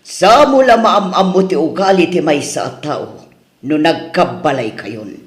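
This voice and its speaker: A woman's voice: low voice, expressive, a little slow. A woman playing a protective, reluctant older mother in an Ilocano radio drama, with an Ilocano accent. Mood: pleading, disapproving. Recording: roomy echo.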